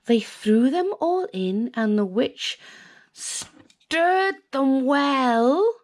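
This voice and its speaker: A woman's voice: high voice, animated, extremely slow, darkish tone. A woman with a British accent reading a children's story expressively, dramatically drawing out words. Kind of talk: expressive scripted storybook narration.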